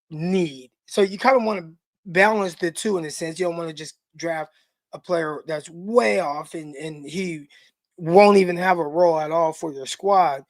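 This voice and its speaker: A man's voice: high voice, no room sound. A man co-hosting a live football podcast, giving opinionated and enthusiastic takes in a loose conversational way. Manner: enthusiastic, opinionated, quick asides. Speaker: co-host, former pro football player. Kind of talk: live podcast draft talk.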